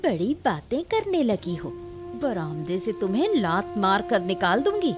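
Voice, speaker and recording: A woman's voice: mid-range voice, very animated, a little slow. Lady doing mean bossy young daughter-in-law for a cartoon, very up and down, music behind. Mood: scornful, angry. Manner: harsh, taunting, threatening. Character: quarrelsome, cruel young daughter-in-law scolding. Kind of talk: Hindi cartoon family melodrama.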